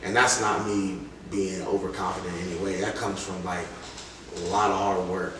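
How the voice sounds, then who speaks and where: A man's voice: low-pitched, little pitch movement, normal speed. A man telling stories casually in an interview as a rap artist, with informal slangy phrasing and quoted asides. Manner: informal slang, often quoting others and punctuating with "like" and "you know". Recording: very echoey room, distant mic, loud noise.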